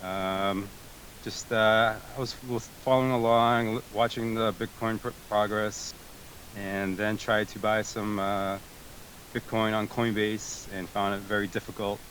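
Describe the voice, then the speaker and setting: A man with a very low voice answers questions on a voice call, pausing and restarting often. He comes from Greece. A faint hiss sits under the line. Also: flat delivery, a bit bright, low-quality recording. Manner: hesitant, many fillers and restarts. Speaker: guest, tech support team member. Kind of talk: casual interview answers. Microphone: remote voice chat call.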